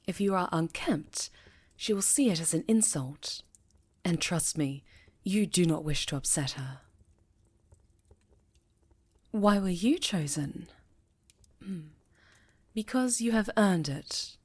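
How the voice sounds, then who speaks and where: A woman's voice: on the low side, a little mellow, breathy edge, dry recording. A woman playing a stern, exacting witch mentor in a fantasy ASMR roleplay, speaking formally and imperiously. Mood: solemn, encouraging.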